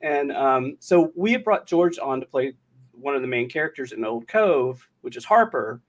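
Mid-pitched man chatting, thinking aloud. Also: animated, normal speed, highs cut off. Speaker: audio drama writer and podcaster, guest. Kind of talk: casual interview chat.

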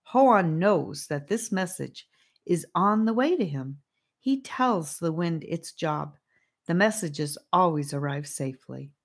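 A woman's voice: lowish voice, expressive, slow, full character voice. A woman reading a storybook, voicing a serene, earnest girl who encourages her friends. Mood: assured.

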